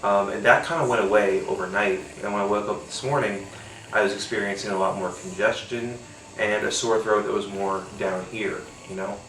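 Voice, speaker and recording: A man's voice: fairly low pitch, fairly even intonation, normal speed, a bit bright, echoey room, distant mic, a little hiss or hum, low-quality recording. A man with a congested, nasal voice from a cold, rambling casually with lots of fillers and casual swearing while he tastes a beer. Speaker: podcast host. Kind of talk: casual solo beer-tasting chat.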